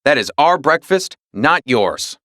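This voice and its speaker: A man's voice: on the high side, sing-song, takes it slowly, a bit bright, no echo, studio quality, pitched above the performer's own voice, heavily acted. A man voicing an eager, loyal talking dog for a children's storybook, in short earnest exclamations. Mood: fierce, alert.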